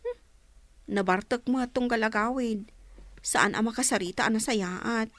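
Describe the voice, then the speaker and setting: Woman playing a shy daughter of a poor family, high voice, Ilocano accent, dry close sound, radio drama. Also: normal speed, fairly bright tone, no room sound, lightly acted. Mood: worried. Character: bashful, worried young daughter of a poor family.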